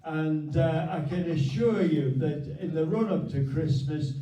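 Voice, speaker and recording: Halting man in an echoey hall. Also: a little high, warm tone, recorded from a distance. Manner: halting, many repeated words and fillers. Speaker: host of a local history group meeting. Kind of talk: unscripted thanks and announcements at a meeting. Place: meeting hall.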